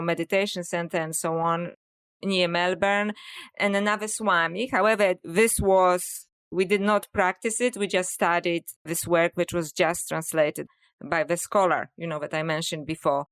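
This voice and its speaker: Smooth-voiced woman with a Polish accent. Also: on the low side, fairly even intonation, a bit bright. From Poland. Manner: measured and reflective, frequent "you know". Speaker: author and scholar, podcast guest. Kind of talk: interview conversation.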